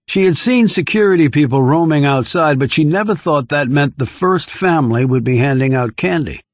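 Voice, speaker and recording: Guy reading a spy thriller audiobook, voice a bit on the high side, really dry studio sound. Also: animated, moderate pace, no room sound. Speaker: audiobook narrator. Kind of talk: scripted thriller narration.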